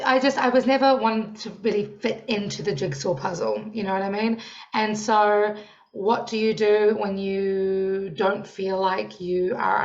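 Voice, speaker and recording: A young woman with a New Zealand accent, a guest talking in a loud, lively way with frequent laughs. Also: mid-range voice, gravelly, lo-fi sound. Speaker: podcast guest, songwriting coach. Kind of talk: casual interview chat.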